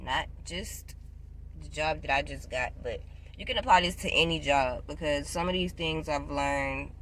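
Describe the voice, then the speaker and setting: Young woman vlogger in her twenties, bright and forceful, chatty and loud, with faint traffic behind her. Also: sits low. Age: 20s. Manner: chatty, repeats herself, loud and playful. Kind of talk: casual advice vlog.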